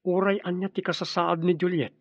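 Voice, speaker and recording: A man's voice: sits high, little pitch movement, fairly quick, no room sound, lo-fi sound. An actor with an Ilocano accent playing an earnest young man in love in a radio drama. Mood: insistent. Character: young man, devoted suitor defending his sweetheart, earnest.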